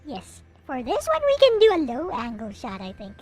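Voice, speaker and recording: A woman's voice: high-pitched, wildly swooping pitch, moderate pace, darkish tone. A woman giving a casual, playful show-and-tell commentary as a streamer presenting collectibles. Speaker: streamer showing collectible anime figures. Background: music.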